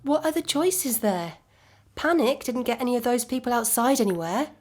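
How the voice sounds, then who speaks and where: An actress playing a calm, resigned ex-girlfriend in a naturalistic audio drama, measured, dry and matter-of-fact. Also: fairly high pitch.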